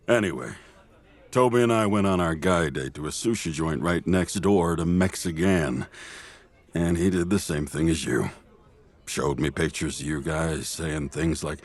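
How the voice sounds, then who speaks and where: Casting: male actor as easygoing family friend, low-pitched, playful, wry, kind-hearted, conversational drama delivery. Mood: kind, encouraging. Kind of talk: conversational audio drama dialogue.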